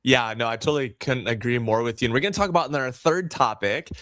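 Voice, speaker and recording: A man's voice: mid-range voice, fast, fairly bright tone, no echo, studio quality. A man hosting a live-streamed business interview show, enthusiastic and effusive as he welcomes his guest. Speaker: podcast host.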